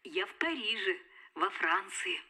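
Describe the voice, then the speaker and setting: An actress playing a kindly female schoolteacher in a children's show, polite and gently instructive. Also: mid-range voice, expressive, measured pace, husky, exaggerated voice. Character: kindly adult female schoolteacher calling from abroad.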